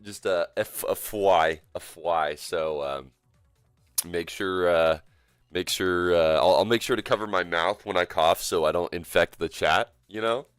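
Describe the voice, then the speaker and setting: A man's voice: low voice, unhurried, clear, resonant tone, no echo. A man live streaming a game, sick and coughing, chatting loosely with viewers and joking as he greets chat. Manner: loose and joking, rambling with fillers. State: sick with a cough, voice deeper than usual. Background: faint game music.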